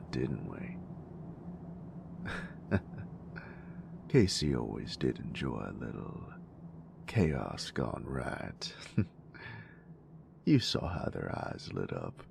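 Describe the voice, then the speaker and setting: Man acting a very deep-voiced, teasing bully boyfriend. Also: vocal fry, darkish tone, a little hiss or hum, full character voice. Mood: amused, warm. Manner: slangy, teasing, halting when sincere. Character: gruff, teasing young bully turned affectionate boyfriend. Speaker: voice actor. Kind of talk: intimate boyfriend roleplay audio drama.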